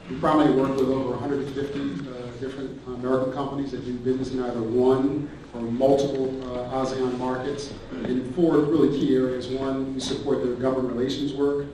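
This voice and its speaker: A man with a flat, mellow delivery who works through his points one by one on a panel. He is heard from a distance in a large, echoing hall. There is faint noise behind him. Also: medium pitch. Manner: orderly, lists points one by one. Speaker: panelist, trade association representative. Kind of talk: conference panel introduction. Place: conference stage in a large hall. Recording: echoey hall, distant mic.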